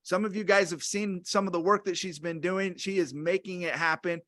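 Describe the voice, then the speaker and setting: A man's voice: high-pitched, steady tone, moderate pace, a bit bright. A man hosting an online company call, thanking one speaker and introducing the next in an upbeat, effusive way.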